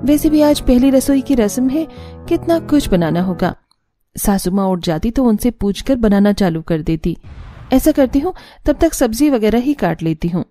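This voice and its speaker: A woman's voice: a little high, animated, moderate pace, mellow, natural voice. A woman voicing a young, dutiful new bride thinking aloud in a cartoon story. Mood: musing, earnest. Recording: music bed under the voice.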